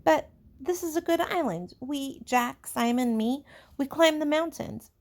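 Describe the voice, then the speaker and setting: A woman's voice: high voice, measured pace, voice pushed up. A woman voicing an earnest, fair-minded schoolboy leader in a storybook, with emphatic speech-making. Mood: upbeat, reassuring. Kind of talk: storybook character voice.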